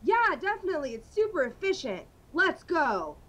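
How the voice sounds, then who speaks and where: A frantic male superhero in a comedy improv bit, squealing in alarm, as a woman puts him on. His voice sits very high. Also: big pitch swings, normal speed, a bit bright.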